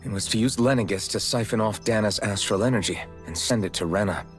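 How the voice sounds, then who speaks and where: A man plays a steady young hero leading his party in a game. The voice sits fairly low, serious and measured. Soft music runs behind it. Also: lightly acted. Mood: serious, resolute. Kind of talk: scripted video game voice acting.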